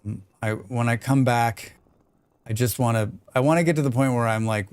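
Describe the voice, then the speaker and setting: A man's voice: medium pitch, normal speed, clean tone, no echo. Chatty American man streaming a game. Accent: General American. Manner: rambling, chatty, reads chat aloud. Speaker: live streamer. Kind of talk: casual chat while gaming.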